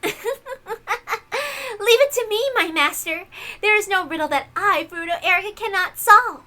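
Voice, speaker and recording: A woman's voice: very high voice, moderate pace, bright, forceful tone, voice pushed up. A woman voicing a smug, eager girl detective who serves her master with boastful, theatrical obedience in a visual novel read-aloud. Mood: gleefully boastful.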